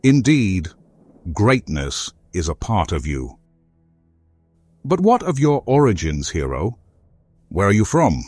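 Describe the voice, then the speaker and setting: A man's voice: fairly low pitch, big pitch swings, slow, pauses often, a little mellow, slightly rough, no echo, faint music behind, full character voice. A man voicing a defeated fantasy adversary in a video game, with solemn, florid, philosophical and resigned speech. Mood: solemn, challenging.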